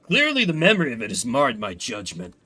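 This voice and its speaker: A man's voice: mid-range voice, huge pitch swings, a little fast, pushed and bright, faint music behind, voice pushed down, heavily acted. Man voicing a solemn, formal game commander. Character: proud, honorable male military commander, stiffly formal.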